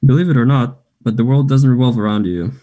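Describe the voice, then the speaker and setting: A cocky, dismissive young man, performed by a man in a play reading. His voice is dark and relaxed at a middle pitch. The delivery is blunt and sardonic, in short clipped replies. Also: fast talker, close to an everyday voice. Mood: scornful, dismissive. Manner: curt, sardonic. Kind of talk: play table read.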